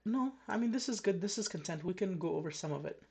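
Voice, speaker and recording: A woman's voice: on the low side, animated, quick pace, gravelly, a little mellow, slightly rough. A woman from Canada coaching a trader one on one over a video call, chatty and frank. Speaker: trading coach.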